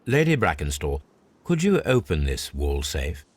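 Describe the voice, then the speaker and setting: Casting: deliberate game detective, low husky voice, precise and deductive delivery. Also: male voice, huge pitch swings, dry recording, full character voice. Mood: analytical, composed. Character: analytical male detective, composed and deliberate. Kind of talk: video game cutscene acting, musing aloud.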